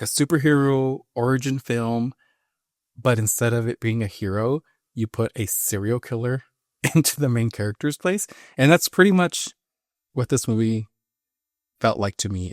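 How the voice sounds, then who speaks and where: A man's voice: mid-range voice, no room sound. Man chatting casually in a dry room. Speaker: podcast co-host. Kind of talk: casual film review chat.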